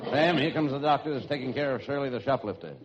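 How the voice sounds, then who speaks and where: A man's voice: medium pitch, quick pace, crowd noise, natural voice. An actor playing an earnest, rather dim police lieutenant as the straight man in an old-time radio comedy. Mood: concerned. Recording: muffled noisy radio recording, audience behind.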